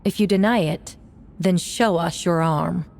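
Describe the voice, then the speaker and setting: A woman's voice: fairly low pitch, unhurried, vocal fry, a little mellow, a little hiss or hum. An actress voicing a fierce, sly woman knight with a theatrical, taunting manner in a game cutscene. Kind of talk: dramatic game cutscene acting.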